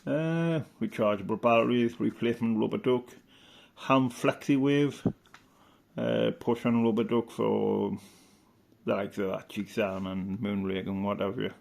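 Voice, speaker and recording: A man with a slightly deep, noticeably creaky voice talks casually as he browses and reads from a catalogue. He rambles and hesitates, thinking aloud between items. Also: fairly even intonation, a little slow, darkish tone. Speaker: video presenter. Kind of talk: casual commentary while reading through an old catalogue.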